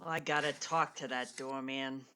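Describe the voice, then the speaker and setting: A woman playing a grouchy veteran theater stagehand in a radio play, gruff and slangy. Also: very deep voice, fairly even intonation, moderate pace, pushed and bright, slight background noise. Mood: startled, exasperated.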